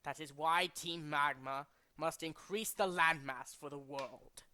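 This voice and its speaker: A man's voice: fairly high pitch, sharp tone. A man voicing a condescending, cultured and fanatical villain leader from a video game, speaking in a formal, theatrical way. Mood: cold, lofty. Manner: formal, pompous, theatrical, deliberate.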